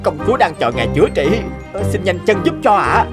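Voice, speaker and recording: A man's voice: sits high, very animated, fast. A man voicing a formal, insistent royal envoy in a cartoon folk tale. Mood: courtly. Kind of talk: animated folk tale voice acting. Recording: music bed behind the voice.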